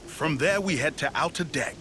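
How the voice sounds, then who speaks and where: A man's voice: on the high side, normal speed, a crowd in the background, natural voice. Man playing a terse warrior, noisy background. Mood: serious. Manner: terse, drops small words. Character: terse male warrior speaking in clipped phrases. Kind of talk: video game cutscene acting.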